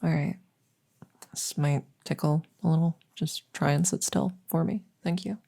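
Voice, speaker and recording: Woman playing a breathy, creaky, soft-spoken witchy vet. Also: low-pitched, steady tone, full character voice. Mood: gentle, attentive. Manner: gentle, chatty, halting, teasing. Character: friendly, goofy, reassuring human witch werewolf vet. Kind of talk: soft ASMR audio roleplay.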